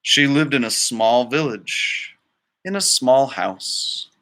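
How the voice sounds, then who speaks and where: A man's voice: medium pitch, slow pace, sharp tone. A man reading a children's story aloud expressively, with dramatic phrasing and casual asides. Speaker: storybook reader.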